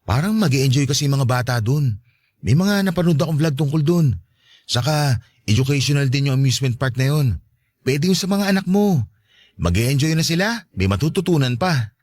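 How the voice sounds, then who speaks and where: A man's voice: fairly high pitch, lively intonation, normal speed, darkish tone, no echo. A man playing a cheerful, devoted boyfriend in his thirties in an audio drama, earnest and conversational. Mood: eager, playful. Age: 30s. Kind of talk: Tagalog audio drama dialogue.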